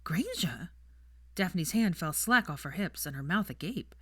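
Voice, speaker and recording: A woman's voice: lowish voice, expressive, moderate pace, dry recording, studio quality. A woman reading fiction aloud as a scripted story narration.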